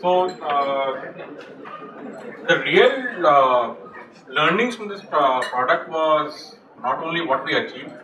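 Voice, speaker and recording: A man speaking slowly and hesitantly at a technical meetup, with fillers, on a handheld mic. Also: a little high, expressive. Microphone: handheld mic, far camera. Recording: echoey room from far off, noisy.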